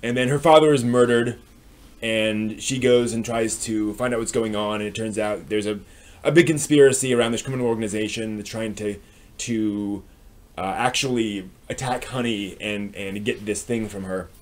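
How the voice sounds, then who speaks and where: A man's voice: lowish voice, normal speed. A man giving a casual, unscripted review as a live stream host, explaining things with wry asides.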